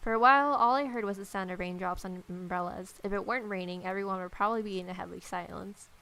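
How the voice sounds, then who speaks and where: A woman with a gravelly, fry-edged voice at a middle pitch reads a visual novel aloud. She slips between narration and casual, sarcastic commentary. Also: quick pace, sharp tone, low-quality recording. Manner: irreverent, sarcastic. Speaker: let's player.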